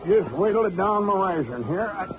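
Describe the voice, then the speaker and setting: An actor playing a gruff, nosy old boatman with a folksy nautical drawl, in 1940s radio drama style. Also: sits high, lively intonation, moderate pace, music in the background. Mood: nosy, sly. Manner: folksy nautical drawl full of sailor slang. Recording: muffled, noisy 1940s radio broadcast.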